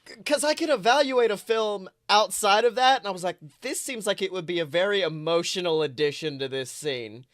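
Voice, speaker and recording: A podcast co-host chatting casually about films in an animated, emphatic way with plenty of swearing. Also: medium pitch, a little fast, dry recording, clean studio sound. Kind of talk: casual film discussion podcast chat.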